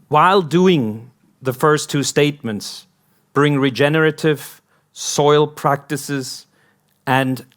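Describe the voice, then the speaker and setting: A man with an American accent, born in Hong Kong, giving a conference talk as a company founder, building up a string of rhetorical questions. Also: mid-range voice. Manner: slow, rhetorical, repeating "what if" questions. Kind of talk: conference speech.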